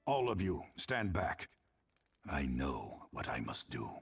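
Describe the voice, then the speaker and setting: Very deep, grim warrior. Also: male voice, sing-song, takes it slowly, gravelly, soft background music, full character voice. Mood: grim resolve. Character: grim, resolute male warrior hero. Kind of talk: dramatic video game dialogue.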